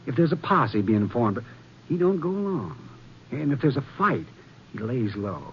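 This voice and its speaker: Folksy, gossipy American hotel clerk. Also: male voice, medium pitch, big pitch swings, moderate pace. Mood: scornful, confiding. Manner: folksy, rambling, rustic speech. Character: chatty, gossipy small-town western hotel clerk. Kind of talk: 1950s radio western acting. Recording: dull, faintly noisy vintage radio recording.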